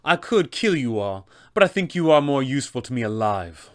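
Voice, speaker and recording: A man voicing a cold, scheming female wizard villain for a tabletop game, disdainful and measured. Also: medium pitch, big pitch swings, natural voice. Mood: menacing. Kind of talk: tabletop game character acting.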